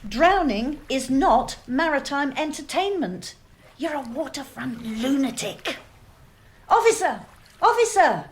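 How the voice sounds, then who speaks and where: A woman's voice: sits high, big pitch swings, takes it slowly, heavily acted. An actress playing an indignant genteel lady pestered on the docks, haughty and theatrical, in an amateur radio play.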